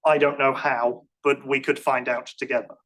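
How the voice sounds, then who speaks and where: A man's voice: mid-range voice, little pitch movement, normal speed, a bit bright. A man answering questions after a conference talk in a lecture room, speaking casually with frequent restarts and self-deprecating asides. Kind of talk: technical Q&A, casual and hedging.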